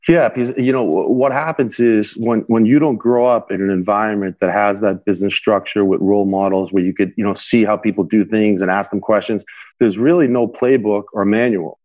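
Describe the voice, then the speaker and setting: A young man answers interview questions in a rapid, earnest flow, leaning on "you know" as he explains. His voice sits slightly low and the recording is dry and close. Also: steady tone, darkish tone, no room sound, dull audio. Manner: frequent "you know" fillers, earnest advice-giving. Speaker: podcast guest, entrepreneur.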